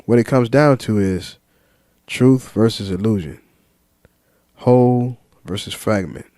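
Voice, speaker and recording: A man talking freely to his viewers in a reflective monologue, slow and deliberate, listing ideas one word at a time with some fillers and repeats. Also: fairly low pitch, mellow, no room sound, clean studio sound. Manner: lists ideas in measured single words. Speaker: vlogger.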